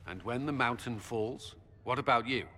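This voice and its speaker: A man's voice: medium pitch, moderate pace, pushed and bright, slight background noise, natural voice. A man voicing a stern, clipped military commander. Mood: grim. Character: stern male military commander, ex-Templar, grim. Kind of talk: video game cutscene acting. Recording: long hall reverb.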